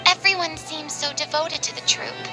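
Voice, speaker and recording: A woman's voice: sits high, fairly even intonation, normal speed, music in the background, natural voice, low-quality recording. A woman voicing a flustered, grandly polite young former stage star in a video game, with theatrical, genteel delivery. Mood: earnest.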